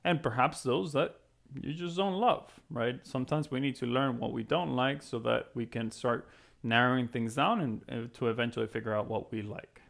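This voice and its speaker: Guy in his 30s, creaky mid-pitched voice, explaining stuff to students in an encouraging chatty way. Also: normal speed, a bit bright. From Venezuela (Caracas). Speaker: physics professor and program co-founder. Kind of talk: explanatory talk to students, conversational.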